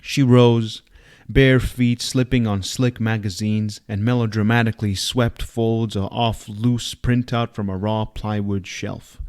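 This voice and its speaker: A man reading fiction aloud in a dramatic, expressive audiobook style. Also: lowish voice, takes it slowly. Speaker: reader.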